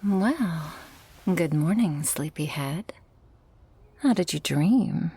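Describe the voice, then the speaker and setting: A woman voicing an affectionate, protective, dominant shark girl in an intimate ASMR roleplay, with a breathy voice that often drops to a whisper. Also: lowish voice, animated, dark tone, slight background noise. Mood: playful, teasing. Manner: slow, teasing, tender and commanding.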